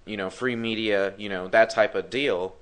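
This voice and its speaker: A man's voice: on the low side, fairly even intonation, normal speed, fairly bright tone, clean tone. A man hosting a live talk show who has partly lost his voice, speaking in a rambling way with many fillers and restarts. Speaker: podcast host.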